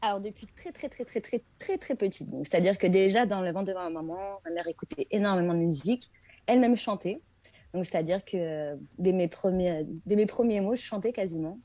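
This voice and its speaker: A woman's voice: mid-range voice, fairly quick, mellow, dry recording. A woman from France, a singer chatting casually as an interview guest and repeating words for emphasis. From France, Paris region.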